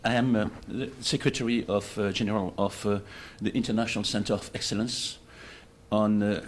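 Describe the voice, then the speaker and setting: An older man with a French accent addresses a conference in a fairly low voice. He introduces his work haltingly, phrase by phrase, over faint background noise. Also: steady tone. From France. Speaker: conference speaker, organization official. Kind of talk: self-introduction speech at a conference. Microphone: livestream microphone in meeting room.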